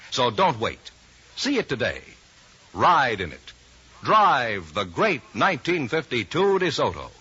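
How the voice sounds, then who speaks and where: A man's voice: medium pitch, bright, forceful tone. An American man announcing a 1950s radio advertisement in a polished, emphatic selling style. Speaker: commercial announcer. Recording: dull, husky-sounding old radio broadcast.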